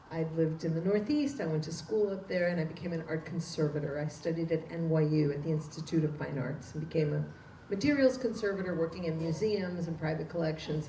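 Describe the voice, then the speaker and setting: A woman's voice: low-pitched, warm tone. A woman in her sixties from Cuba, an art conservator, explaining her career fluently at an outdoor panel discussion into a handheld mic. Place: outdoor courtyard. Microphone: handheld mic, far camera. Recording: echoey, distant, faint background noise.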